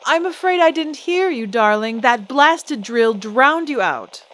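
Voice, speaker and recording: A woman's voice: sits high, expressive, measured pace, fairly bright tone. A woman playing a grand celebrity singer, coy and theatrical, in an old-time radio drama style. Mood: haughty charm. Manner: theatrical, coy, condescendingly sweet.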